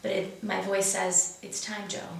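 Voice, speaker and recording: A woman's voice: mid-range voice, flat delivery, a little slow, creaky, a little hiss or hum. A woman guest explaining her wellness program in earnest, casual conversation. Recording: echoey room, distant mic.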